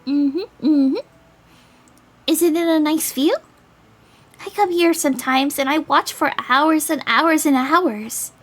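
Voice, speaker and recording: A woman voicing an excitable, chatty young girl with a gushing, eager manner in a visual novel. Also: high-pitched, takes it slowly, pauses often, pitched above the performer's own voice. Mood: dreamy.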